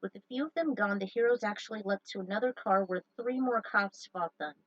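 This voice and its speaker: A woman's voice: medium pitch, brisk, no echo, far from the mic, lo-fi sound. A woman reading a story aloud, breaking off for chatty, joking asides. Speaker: fan fiction reader. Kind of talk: story narration with casual asides.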